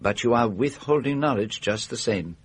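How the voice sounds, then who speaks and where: A man acts out a shrewd older detective with a Belgian French accent. The voice is slightly husky and middling in pitch. He questions patiently and formally, placing words with care in classic radio drama style. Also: lightly acted. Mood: calm, insistent. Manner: precise, formal, deliberate questioning.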